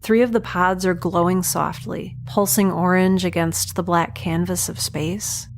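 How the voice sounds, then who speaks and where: Woman as wry, low-voiced young space traveler. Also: level tone, unhurried, a little hiss or hum. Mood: awed. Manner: wry, casual, guarded. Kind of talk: science fiction audio drama acting.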